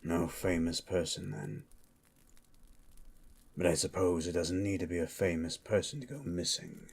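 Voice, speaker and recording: A man playing a gruff, suspicious monster hunter in an ASMR roleplay, with a guarded, dry manner. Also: measured pace, full character voice. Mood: grave, suspicious. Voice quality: low, gravelly-husky.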